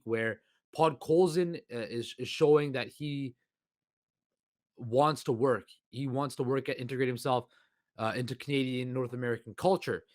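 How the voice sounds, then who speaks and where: A man hosting a solo sports podcast, with a hoarse voice and a rambling delivery full of fillers and restarts. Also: medium pitch, no room sound, studio quality. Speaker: podcast host.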